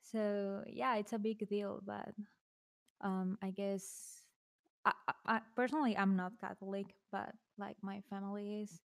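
A woman from Mexico speaks in a relaxed chat with noticeable vocal fry. She sometimes stammers and restarts her sentences. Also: mid-range voice, a little slow. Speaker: podcast guest. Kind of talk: casual chat.

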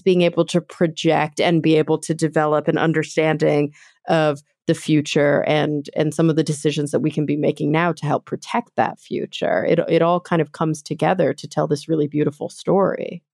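A woman's voice: low voice, a little mellow, dry recording. Enthusiastic woman podcast host, dry sound. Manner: enthusiastic, chatty. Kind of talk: interview conversation.

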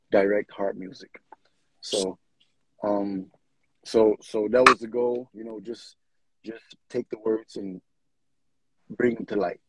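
A man from Israel with a low, husky voice and a dry, close sound speaks as a guest on a music show. He talks earnestly and loosely, repeating phrases as he goes. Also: no room sound, low-quality recording. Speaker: singer-songwriter guest. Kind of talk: casual interview talk.

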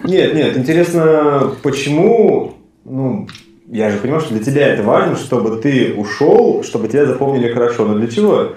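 A man's voice: medium pitch, lively intonation, moderate pace, a little mellow, distant mic. A man in his late twenties chatting and asking questions casually as a podcast co-host. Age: late 20s, turning thirty. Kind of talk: casual conversational banter and questioning. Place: friend's home, indoors. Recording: very echoey room.

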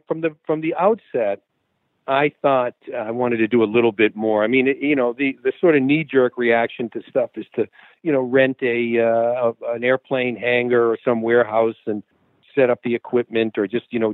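A man's voice: mid-range voice, steady tone, normal speed, no room sound. Man rambling over a tinny phone line. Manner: rambling, many restarts and fillers. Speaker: guest musician, guitarist. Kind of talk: casual interview talk.

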